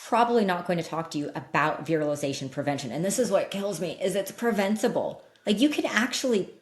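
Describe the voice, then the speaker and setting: A woman with a slightly deep voice, speaking as an expert guest in a candid, informal, emphatic way, explaining things in an interview conversation. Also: animated, quick pace. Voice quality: slightly deep voice that cracks when loud. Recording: roomy echo.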